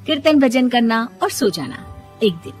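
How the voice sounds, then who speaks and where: A woman's voice: a little high, very animated, unhurried. A woman reading scripted narration for a domestic moral story as its storyteller. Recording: music under the voice.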